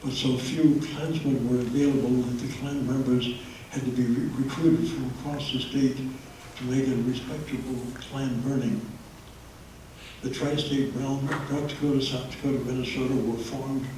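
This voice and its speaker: A man's voice: mid-range voice, steady tone, moderate pace, a little mellow, slight background noise. A man giving a public history talk to an audience, with many hesitant fillers, recorded through a handheld microphone in a meeting room. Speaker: history presenter. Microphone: handheld microphone, held low at times. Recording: echoey room from far off.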